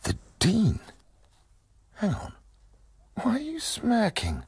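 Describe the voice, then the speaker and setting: An actor in a comic radio play playing an excitable, indignant university lecturer, raspy and theatrical, with a sardonic edge. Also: male voice, sits high, slow pace, no room sound, full character voice. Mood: incredulous. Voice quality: raspy, breathy, creaky.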